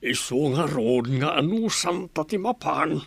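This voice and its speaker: Casting: persuasive village dad, middle-aged, Ilocano accent, lightly high-pitched radio-play voice. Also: male voice, animated, unhurried, creaky, a bit bright, no echo, heavily acted. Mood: coaxing. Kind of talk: Filipino radio drama acting.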